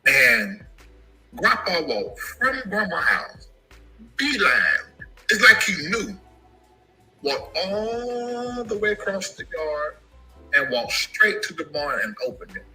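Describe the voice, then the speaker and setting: A man telling an emotional personal story about his family, animated and given to sudden high shouts. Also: takes it slowly, faint music behind, poor audio. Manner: animated, jumps into high-pitched shouts when quoting.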